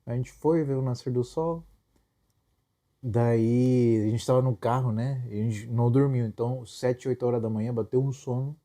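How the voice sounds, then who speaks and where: Dark-voiced laid-back Brazilian man. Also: medium pitch, steady tone, moderate pace. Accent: Brazilian Portuguese. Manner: laid-back, informal slang. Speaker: podcast co-host. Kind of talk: casual couple conversation.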